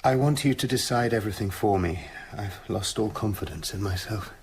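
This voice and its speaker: An actor playing a genteel, anguished Victorian scientist in a British radio drama, with a British accent and measured, halting delivery. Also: male voice, on the low side, slight background noise, natural voice. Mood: despairing. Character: tormented, genteel Victorian male scientist, well-meaning and anguished.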